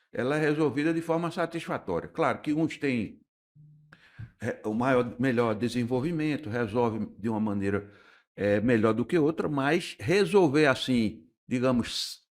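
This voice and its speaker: Measured older Recife man explaining. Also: medium pitch. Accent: Pernambuco Portuguese. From Recife, Brazil. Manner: measured, explanatory, hesitant with restarts. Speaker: architect and urban planner guest. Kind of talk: radio interview talk.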